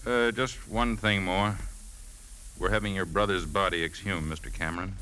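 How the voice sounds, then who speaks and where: Gruff police captain, really deep forceful voice, old 50s radio with some hiss. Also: male voice, steady tone, normal speed. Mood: matter-of-fact. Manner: matter-of-fact, official. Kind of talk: 1950s radio drama acting. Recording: noisy old 1950s radio recording.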